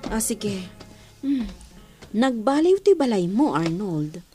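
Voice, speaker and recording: A woman with an Ilocano accent playing a gentle, reserved mother in a radio drama, speaking softly and breathily. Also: mid-range voice, big pitch swings, takes it slowly. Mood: tender. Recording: music behind the voice.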